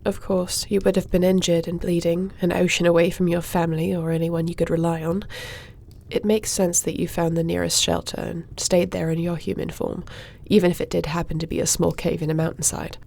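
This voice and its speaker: A woman playing a guarded, dutiful young warrior princess in a fantasy audio roleplay, speaking formally and gently. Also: lowish voice, flat delivery, a little fast, creaky. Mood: calm, probing. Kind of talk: audio roleplay fantasy acting, addressing listener.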